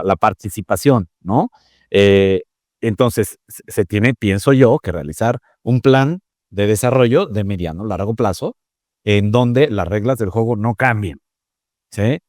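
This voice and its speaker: A man, a guest explaining telecom topics in a measured way, with many fillers and tag questions. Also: on the low side, darkish tone, no room sound, clean studio sound. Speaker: interview guest, telecom expert. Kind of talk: conversational interview.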